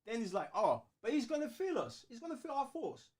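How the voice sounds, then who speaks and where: A man's voice: very high voice, very animated, fairly quick, husky. A man with a London accent chatting casually as a co-host, using slang and repeating words as he builds a point. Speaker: co-host of a fan talk show. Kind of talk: casual chat.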